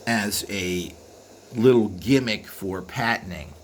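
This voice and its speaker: Rambling man explaining over background noise. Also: fairly low pitch. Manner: rambling, halting with frequent trailing asides. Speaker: video host. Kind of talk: unscripted explanatory monologue. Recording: clearly audible background noise.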